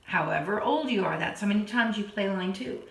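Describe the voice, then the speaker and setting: A hoarse woman who keeps clearing her throat, patiently teaching a children's keyboard lesson and counting beats aloud. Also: medium pitch, expressive, fairly quick. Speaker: piano teacher. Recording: roomy, from a distance. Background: keyboard playing.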